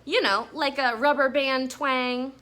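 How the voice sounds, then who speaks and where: A woman's voice: sits high, steady tone, unhurried, bright, forceful tone. A woman voicing an eager, earnest young boy who loves frogs, in a storybook read-aloud. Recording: noisy classroom recording.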